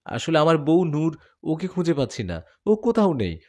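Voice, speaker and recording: A man's voice: a little high, huge pitch swings, normal speed, darkish tone, pitched above the performer's own voice. A man voicing a devoted young male jinn warrior who pleads and argues in a spoken story. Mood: earnest. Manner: earnest, pleading.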